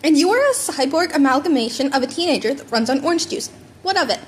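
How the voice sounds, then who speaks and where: Woman voicing a bubbly, high-pitched, cheeky teenage boy. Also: expressive, fast. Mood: snarky. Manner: eager, insistent, playful. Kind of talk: amateur audiobook character reading. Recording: home recording with background noise.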